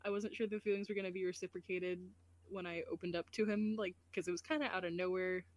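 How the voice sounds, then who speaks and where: A woman's voice: on the low side, steady tone, fast talker. A woman talking casually as a guest voice actor in an outro chat.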